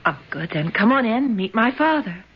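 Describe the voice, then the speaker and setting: An anxious, breathy young woman. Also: a little high, very animated, a little fast. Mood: eager. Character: Lynn, anxious young woman caught up with crooks. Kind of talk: 1970s radio drama acting. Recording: muffled old radio broadcast with hiss.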